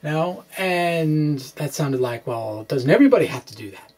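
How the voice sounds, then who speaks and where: Halting middle-aged man explaining physics. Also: on the high side, animated, a little mellow. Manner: halting, hesitant, self-correcting. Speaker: physics blogger and vlogger. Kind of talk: blog read aloud with rambling asides. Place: small indoor room with bookshelves.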